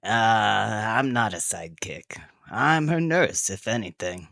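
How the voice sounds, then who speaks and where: A man's voice: on the low side, very animated, slow pace, vocal fry, bright, forceful tone, no echo, studio quality, full character voice. A man playing a tough, blunt, slangy sixteen-year-old half-orc girl in an audio drama. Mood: defensive.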